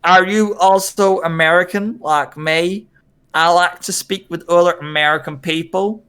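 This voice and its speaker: A man voicing a Korean man who speaks slow, overly formal broken English. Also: high voice, steady tone, a bit bright, clear, resonant tone. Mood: earnest.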